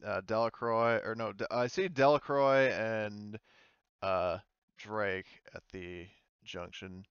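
A man's voice: fairly low pitch, fairly even intonation, takes it slowly, clean tone, no room sound, dull audio. A man narrating a tabletop roleplaying game as game master, hesitant and searching for words.

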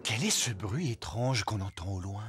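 Animated man narrating a cartoon. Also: fairly low pitch, normal speed. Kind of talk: scripted French cartoon narration.

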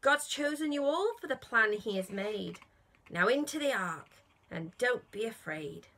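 A woman's voice: on the high side, animated, measured pace, sharp tone, exaggerated voice. Woman reading a kindly, lilting old ark-builder. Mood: reassuring, directing. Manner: reassuring, directing. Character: kindly, organising old man shepherding animals aboard.

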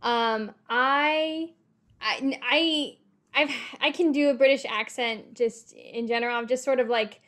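A woman's voice: on the high side, unhurried, a bit bright. A young adult woman, a voice actor, chatting casually in a hesitant manner. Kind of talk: casual chat.

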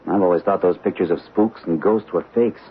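An actor playing a skeptical, plain-spoken young husband, blunt and conversational, in a 1970s radio drama. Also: male voice, sits low, a little fast, close to an everyday voice. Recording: tinny old radio recording, faint hiss.

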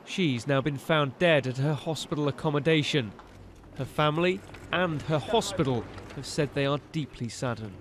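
A man's voice: on the high side, normal speed, slight background noise. A man delivering a scripted news report as a television reporter.

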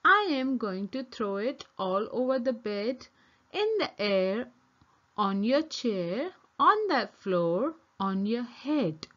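A woman's voice: fairly high pitch, sing-song, slow pace, a bit bright, lo-fi sound, exaggerated voice. A woman voicing an insistent, coaxing young male monkey playmate from a children's storybook. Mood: exasperated. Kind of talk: children's storybook read-aloud.

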